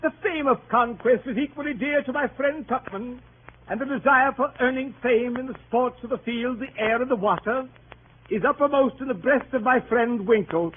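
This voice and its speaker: An actor playing a pompous, earnest and innocent club leader, speaking in orotund, slightly hesitant phrases in 1930s radio comedy style. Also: male voice, extremely high pitch, normal speed. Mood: grandly oratorical. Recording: tinny, noisy 1930s radio broadcast recording.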